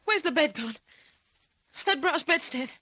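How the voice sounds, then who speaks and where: A woman's voice: high voice, animated, measured pace, pushed and bright, no echo, exaggerated voice. An actress playing a frantic, pleading young woman in an old-time radio drama, breathless and insistent, sometimes stammering. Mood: bewildered. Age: young adult. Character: frantic, pleading young woman seeking her missing sister.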